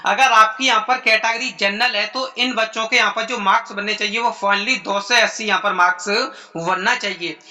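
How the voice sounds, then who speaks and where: A man's voice: sits high, steady tone, a little fast, sharp tone. A man giving an informal explainer to students as an exam-prep presenter, in repetitive, formulaic phrasing.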